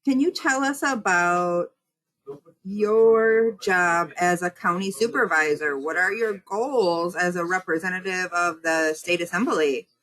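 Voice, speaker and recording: A woman interviewing a local official in a friendly way, often checking facts with a quick "right?". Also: on the low side, fairly bright tone. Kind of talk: community radio interview.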